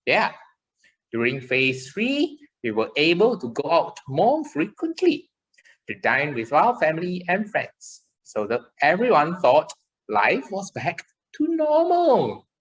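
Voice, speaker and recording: Woman as a slow, lively, caring child puppet. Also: sits low, full character voice, rough recording. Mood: earnest, reassuring. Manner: slow, exclamatory, encouraging, simple explanations. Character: chirpy, caring child puppet character for preschoolers. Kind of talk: scripted children's puppet show.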